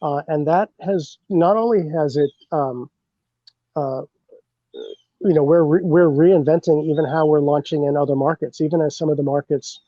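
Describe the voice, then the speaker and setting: A man's voice: on the high side, no echo, muffled recording. A man from a bourbon brand on a podcast, talking through his marketing plans in a rambling way, with lots of fillers and restarts. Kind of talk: casual podcast chat.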